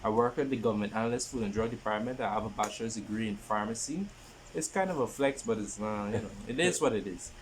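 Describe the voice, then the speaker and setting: Young man, halting podcaster, noisy recording. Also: on the low side. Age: 20s. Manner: halting, often repeats phrases. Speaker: podcast host. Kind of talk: casual podcast introduction.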